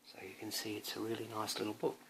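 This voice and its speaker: A man's voice: lowish voice, monotone, quick pace, background noise. A man, a father, reading a picture book aloud to his young son, drawing out words expressively and adding chatty asides that prompt the child.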